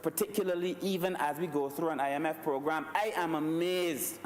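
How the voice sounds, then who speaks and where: A man's voice: on the high side, fairly even intonation, fairly quick, a little hiss or hum. A Barbadian man giving a rhetorical parliamentary speech, repeatedly addressing the Speaker as sir. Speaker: member of parliament. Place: large parliament chamber. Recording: echoey chamber, distant mic.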